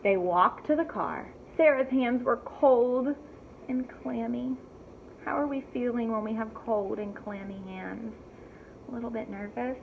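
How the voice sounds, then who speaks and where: A woman reading a story aloud to young children in an animated teacherly way, pausing to ask them simple questions. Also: on the high side. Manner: addresses young listeners directly with simple questions. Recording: thin phone-like audio with clear background noise.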